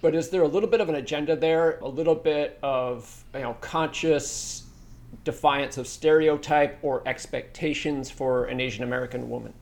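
A man co-hosting an interview, asking a long question with halting restarts. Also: a little high, steady tone, darkish tone. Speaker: podcast co-host.

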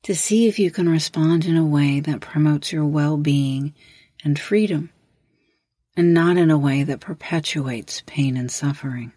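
A woman leading a guided meditation as host, giving measured, instructional prompts with long pauses. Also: sits low, dark tone, husky, dry recording, clean studio sound.

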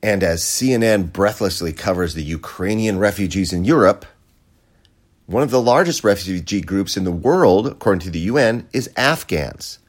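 American guy ranting on his podcast, sarcastic and sweary, lowish voice that jumps all over. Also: expressive, normal speed. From United States. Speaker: podcast host. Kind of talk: opinion monologue.

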